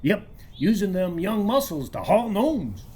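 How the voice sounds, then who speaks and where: Radio-play handyman: an actor as a slangy, chummy male joker, rough-voiced, with swooping intonation. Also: mid-range voice, big pitch swings, measured pace, dark tone. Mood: breezy, joking. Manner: breezy, slangy, drawn to corny jokes. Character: folksy, jokey, slangy male handyman and flatterer.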